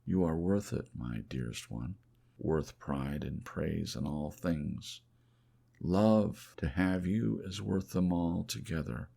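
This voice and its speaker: Man playing a deep, gravelly, haunted dreamer. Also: slow, mellow, heavily acted. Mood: ardent devotion. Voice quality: gravelly, creaky.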